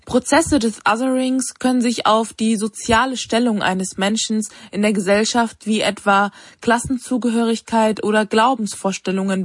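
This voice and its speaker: A woman reading a scripted explainer in a measured, informative tone. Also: fairly high pitch, no echo.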